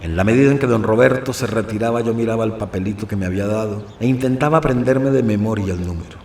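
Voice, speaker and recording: A low, creaky-voiced priest played by a man narrates an audio novel, restless and confessional. The sound is roomy and slightly distant. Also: quick pace, darkish tone, slight background noise, natural voice. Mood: reflective. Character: restless, obstinate priest telling his own story. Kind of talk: dramatic scripted narration. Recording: roomy, distant narration over faint music.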